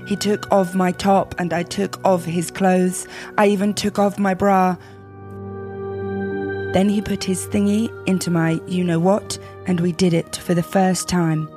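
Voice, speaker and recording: A woman's voice: lowish voice, flat delivery, a little slow, music in the background, lightly acted. A woman voicing a vain, lovestruck, self-pitying teenage goth vampire girl in an overwrought, theatrical reading of fan fiction. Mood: breathless, earnest.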